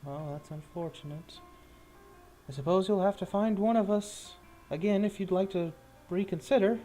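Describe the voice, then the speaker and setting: A man's voice: high voice, normal speed, dark tone, soft background music, voice pushed up, close to an everyday voice. A man voicing an evasive, polite merchant scout in a tabletop game, hesitant as he brushes people off.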